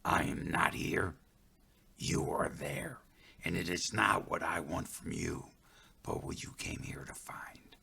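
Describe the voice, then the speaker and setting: A calm, stern forest spirit guide speaks in a hushed, husky whisper, as a male storyteller puts him on. His words come slow and measured, low and gravelly. It is a solemn storybook voice for a wise woodland mentor. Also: heavily acted.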